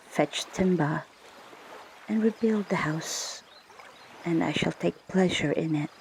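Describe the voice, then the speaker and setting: A woman speaks in a very slow, hushed ASMR whisper, as if leading a guided prayer. Her breathy voice often drops into a crackly fry. She is unhurried and deliberate, with soft ocean water noise running behind her. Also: lowish voice. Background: ocean water ambience.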